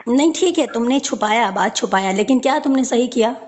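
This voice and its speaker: A woman's voice: a little high, lively intonation, a little fast, noisy background. A woman in an emotional personal conversation, arguing in a pleading, insistent way and repeating herself. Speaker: participant in a three-way personal phone argument.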